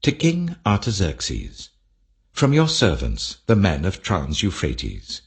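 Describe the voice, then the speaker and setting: A man's voice: sits low, wildly swooping pitch, takes it slowly, full character voice. A British-accented man voicing formal, deferential officials insistently reporting to their king in a scripture audiobook. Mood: urgent warning.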